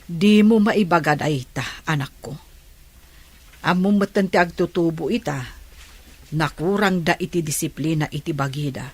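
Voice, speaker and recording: A woman playing a stern, caring mother with a husky voice and an Ilocano accent. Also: sits low, normal speed, pauses often, a little mellow. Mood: admonishing.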